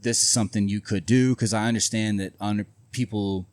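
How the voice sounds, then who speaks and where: A man's voice: lowish voice, fairly even intonation, a little fast. A man talking casually as an interview guest, blunt and profane, rambling with lots of fillers. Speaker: independent wrestler, guest.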